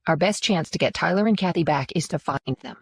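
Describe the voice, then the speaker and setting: Audiobook role: a resolute female investigator, read by a man in a raised, slightly husky voice, blunt and earnest. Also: fairly high pitch, animated, brisk, no echo, studio quality, pitched above the performer's own voice, full character voice. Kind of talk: audiobook narration.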